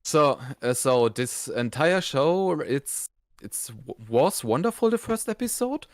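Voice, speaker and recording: A man's voice: on the high side, big pitch swings, slow pace, no echo, clean studio sound. A man co-hosting a review show, giving his opinions in casual conversation.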